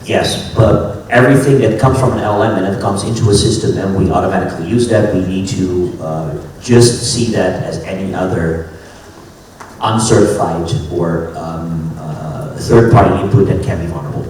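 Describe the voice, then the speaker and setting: A man on a conference panel, giving advice in a thoughtful, halting way. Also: sits low, a little mellow, slight background noise. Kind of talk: panel discussion. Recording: very echoey hall, distant mic, faint ambience.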